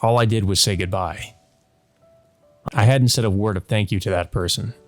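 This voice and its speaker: A man's voice: fairly low pitch, moderate pace. A man doing a let's play, reading a visual novel's narration aloud. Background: background game music.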